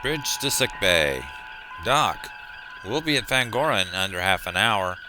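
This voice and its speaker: A man playing a steady, commanding starship captain in a sci-fi audio drama. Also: lowish voice, a little slow, music in the background. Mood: composed, authoritative.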